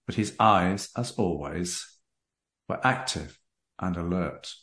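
A man hosting a book interview, addressing the audience deliberately and reading aloud. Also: fairly low pitch. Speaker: podcast host. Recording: roomy, echoey sound.

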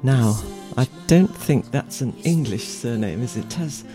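A woman's voice: extremely low pitch, expressive, unhurried, music in the background. A woman hosting a podcast, asking questions in a chatty way with frequent short reactions. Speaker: podcast host. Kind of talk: casual interview.

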